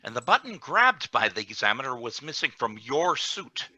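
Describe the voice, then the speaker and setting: Man playing a sharp, insinuating security chief. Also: medium pitch, big pitch swings, normal speed, no echo, full character voice. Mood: accusing. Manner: insinuating, pointed, theatrical. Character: scheming, accusatory security chief. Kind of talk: scripted radio play reading.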